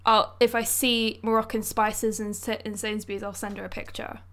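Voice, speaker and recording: Woman just chatting on a podcast, clean smooth voice, slangy and thoughtful. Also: mid-range voice, level tone, normal speed, a bit bright. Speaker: podcast co-host. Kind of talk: casual conversational discussion.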